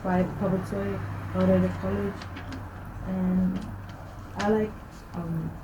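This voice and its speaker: Teen girl from New Zealand, flat and slow, echoey noisy room. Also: mid-range voice, muffled recording. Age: teenager. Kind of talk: self-introduction.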